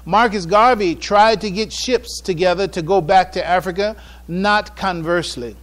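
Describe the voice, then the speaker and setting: An American man delivering a prepared public address on religion and history from notes, with formal, deliberate, emphatic phrasing. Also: high-pitched, a bit bright. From United States. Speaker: speaker giving a public address.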